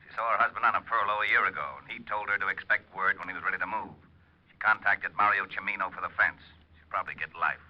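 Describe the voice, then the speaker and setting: Man doing gruff radio police lieutenant, low voice, talks really fast, muffled old broadcast. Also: flat delivery, lightly acted. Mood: businesslike. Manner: clipped, gruff, businesslike. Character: brusque, needling middle-aged police lieutenant. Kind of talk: old-time radio drama acting. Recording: dull vintage radio recording.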